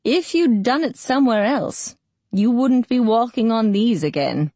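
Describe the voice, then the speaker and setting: A woman's voice: a little high, expressive, unhurried, warm tone, no room sound, pitched above the performer's own voice. A woman voicing a very old, brusque woman healer with a quavering voice, teasing and bossy, in an audiobook. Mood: cackling, teasing. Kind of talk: audiobook character voice.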